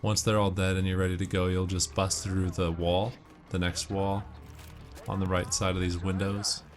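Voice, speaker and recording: Low-voiced man giving game commentary. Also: little pitch movement, normal speed, faint music behind. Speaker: gamer giving walkthrough tips. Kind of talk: casual gameplay commentary. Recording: noisy, game gunfire behind voice. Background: video game gunfire and ambience.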